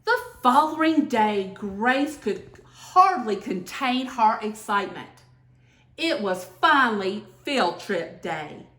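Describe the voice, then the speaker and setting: High-voiced, animated woman reading aloud, roomy sound. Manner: expressive, deliberate storytelling with emphasized words. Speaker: senator and former teacher reading aloud. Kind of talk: children's picture book read-aloud. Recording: echoey room.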